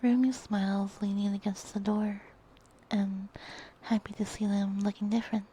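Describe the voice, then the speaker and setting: Soft, breathy woman narrating ASMR. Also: medium pitch, flat delivery, moderate pace. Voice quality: breathy, often whispered. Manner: gentle, soft-spoken. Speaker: ASMR storyteller. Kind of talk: scripted ASMR story narration. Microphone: close binaural microphone. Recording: faint background noise.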